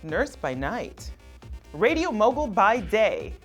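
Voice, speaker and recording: A woman's voice: mid-range voice, big pitch swings, slow pace, faint music behind. A woman hosting an interview show, asking questions in a casual, playful, inquisitive way.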